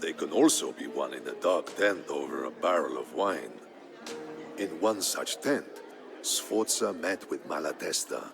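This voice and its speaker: A man narrating a historical tale in a scripted, dramatic style, solemn and measured. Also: a bass voice, creaky. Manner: solemn, measured storytelling delivery. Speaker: narrator of a strategy game campaign story. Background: background music.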